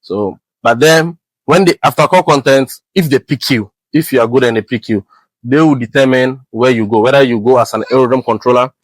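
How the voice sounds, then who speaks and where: A man from Ghana with a Ghanaian English accent, a guest describing his work as an air traffic controller in a relaxed, rambling way, full of fillers and restarts. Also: mid-range voice, fairly quick, dry recording, poor audio. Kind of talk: casual podcast conversation.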